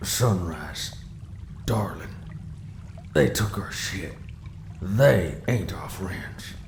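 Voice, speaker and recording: A low-voiced, gruff sand dog played by a man, blunt and distrustful. He drawls his words out extremely slowly in this audio drama, with faint noise behind him. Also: lively intonation, exaggerated voice. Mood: gruff warning.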